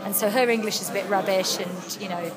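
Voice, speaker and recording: A woman's voice: mid-range voice, fairly quick, over a noisy crowd, low-quality recording. A young Welsh woman, an actress and writer, chatting freely in an interview with lots of ums. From Wales. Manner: chatty, animated storytelling with frequent ums. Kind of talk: casual interview chat.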